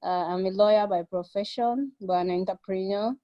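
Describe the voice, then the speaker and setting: A woman's voice: medium pitch, fairly even intonation, normal speed. Woman from Nigeria on a dull call. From southern Nigeria. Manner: friendly, chatty. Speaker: lawyer and entrepreneur. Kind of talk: self-introduction. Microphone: video call.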